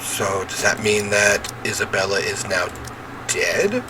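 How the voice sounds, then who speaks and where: A man's voice: fairly low pitch, animated, a little slow, background noise. A man reading a visual novel aloud as he plays, with theatrical, emphatic narration and offhand reactions.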